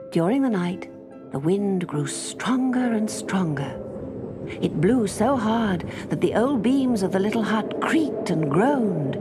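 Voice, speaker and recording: A woman narrating a children's story in an expressive, measured storytelling manner. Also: mid-range voice. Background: music.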